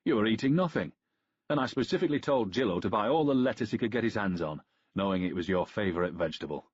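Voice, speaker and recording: A man voicing a boisterous, hot-headed young Roman general with a Danubian accent, loud and hearty. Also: lowish voice, expressive, fast talker, breathy edge, highs cut off. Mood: mock-respectful.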